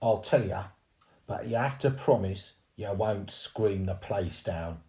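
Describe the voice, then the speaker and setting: A man voicing a rough, cocky boy ghost with a Cockney accent, teasing and slangy. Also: on the low side, slow pace, dark tone. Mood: impatient.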